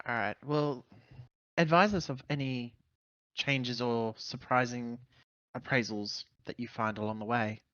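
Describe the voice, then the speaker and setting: A man playing a tabletop roleplaying game, asking questions in halting, deliberate, wordy phrases. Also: mid-range voice, fairly even intonation, fairly bright tone, clear, resonant tone, no echo. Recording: dull, dry voice-chat microphone.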